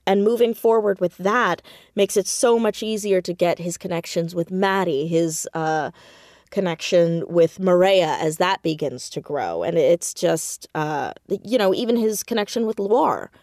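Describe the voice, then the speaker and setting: A woman with a slightly low voice talks casually as a creative guest in an interview. She tells things in an animated, self-deprecating way and leans on key words. The sound is dry, with very little room in it. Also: unhurried, no echo. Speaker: podcast guest, audio drama writer and creator. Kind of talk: casual interview chat about own writing.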